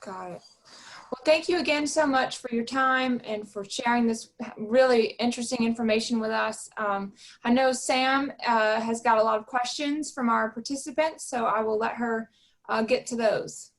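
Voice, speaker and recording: A woman's voice: fairly high pitch, moderate pace. A woman hosting an online talk, polite and appreciative in a chatty way. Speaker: host.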